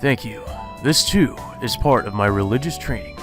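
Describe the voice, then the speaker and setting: A man voicing a polite high school boy, a priest's son with formal phrasing, reading visual novel dialogue aloud. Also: animated, moderate pace, natural voice. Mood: grateful. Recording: background music under the voice.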